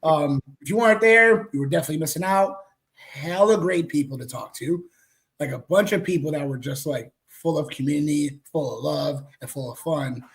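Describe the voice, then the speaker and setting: A young man with a raspy voice, co-hosting a casual sports chat in a hyped, slangy way. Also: fairly high pitch, low-quality recording. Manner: hyped, slangy, quick asides. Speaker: co-host.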